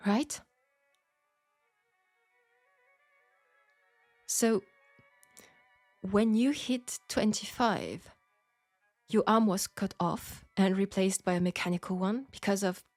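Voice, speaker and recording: A woman playing a flirtatious, caring Parisian seamstress in an intimate steampunk audio roleplay, speaking with a French accent and a soft, hesitant delivery. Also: mid-range voice, slow, no room sound, faint music behind. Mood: curious, disbelieving. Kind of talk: intimate scripted audio roleplay addressed to the listener.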